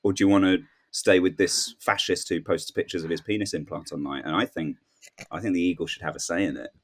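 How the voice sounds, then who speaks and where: A man's voice: deep voice, steady tone, fast talker. A man chatting casually as a comedy panel guest, telling a rambling story and acting out imagined dialogue. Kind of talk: casual comic news chat.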